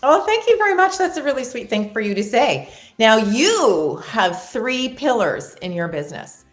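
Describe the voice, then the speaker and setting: A woman's voice: on the high side, very animated, normal speed, reverberant, soft background music. A woman hosting an interview show, reading introductions and encouraging her guest. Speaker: show host. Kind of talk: hosted interview.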